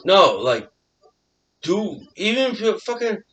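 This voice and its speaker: A man's voice: sits high, takes it slowly, far from the mic. A man guesting on a podcast, joking around and swearing a lot in casual chat. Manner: joking, excitable, heavy swearing.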